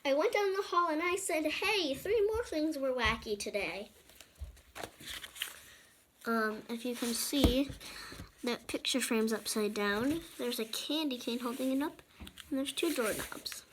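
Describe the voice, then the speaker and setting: High-voiced young girl reading a storybook. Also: unhurried, background noise. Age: child. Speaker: storybook reader and channel presenter. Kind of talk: reading a picture book aloud with informal asides to young viewers.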